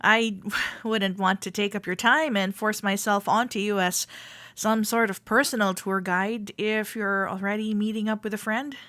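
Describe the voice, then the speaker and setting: A woman playing a friendly, playful club member in a roleplay audio, gently reassuring a shy newcomer as she speaks directly to the listener. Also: medium pitch, steady tone, normal speed, dry recording, close to an everyday voice. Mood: warm, welcoming. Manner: gentle, reassuring, playful, hesitant soft-spoken chattiness.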